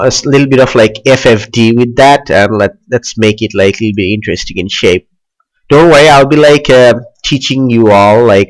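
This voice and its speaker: A young man hosting a software tutorial in a loose, chatty way, with frequent "like" and "uh" fillers. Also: on the low side, low-quality recording. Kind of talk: casual screen tutorial.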